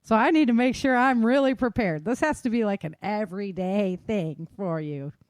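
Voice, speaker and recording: A woman's voice: medium pitch, normal speed, no room sound. Close, dry-sounding woman podcast host. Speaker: podcast host and audio drama author. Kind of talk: casual podcast chat.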